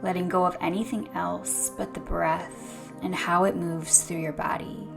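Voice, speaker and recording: A woman yoga instructor leading a gentle guided meditation in a soothing, hushed, unhurried way, with a soft voice that turns breathy at times. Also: on the low side, level tone. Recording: soft music behind the voice. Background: music.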